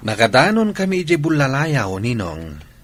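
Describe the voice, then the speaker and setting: A man's voice: mid-range voice, wildly swooping pitch, moderate pace, fairly bright tone. An actor playing an easygoing, affectionate young man who is easily swayed, in a Filipino radio drama. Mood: teasing, amused. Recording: radio drama with music underneath.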